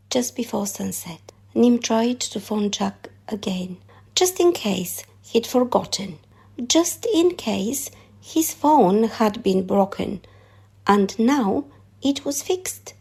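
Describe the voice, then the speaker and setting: A woman narrating a children's story aloud in an expressive read-aloud style. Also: on the high side, creaky, husky.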